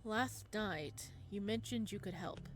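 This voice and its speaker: A woman's voice: medium pitch, slow, close to an everyday voice. A woman voicing an uneasy young male insomniac in a visual novel, hesitant, guarded and wry. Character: uneasy, sleepless young man, guarded therapy patient. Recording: music playing behind the voice.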